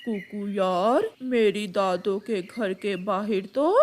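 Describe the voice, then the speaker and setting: A woman voicing a mischievous young crow boy in an animated children's story, with a pleading, whiny manner. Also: mid-range voice, slow, a little hiss or hum, pitched below the performer's own voice, close to an everyday voice. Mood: puzzled.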